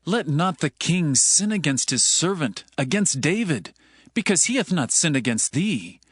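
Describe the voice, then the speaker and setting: Earnest young prince, lightly high voice. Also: male voice, no room sound, studio quality. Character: earnest, loyal young prince pleading for friend. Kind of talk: dramatized scripture acting.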